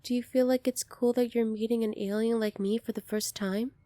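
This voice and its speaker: A woman playing a gentle, earnest young alien princess in an ASMR roleplay, speaking softly and politely to the listener. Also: fairly high pitch, steady tone, a little fast, no room sound, close to an everyday voice. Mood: warm, reassuring. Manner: soft-spoken, polite, earnest, apologetic.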